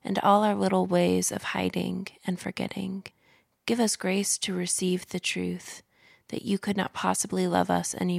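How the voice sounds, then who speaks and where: A woman reading a liturgical prayer in measured, solemn phrases. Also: fairly low pitch, gravelly, a little mellow, dry recording, clean studio sound. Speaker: prayer leader.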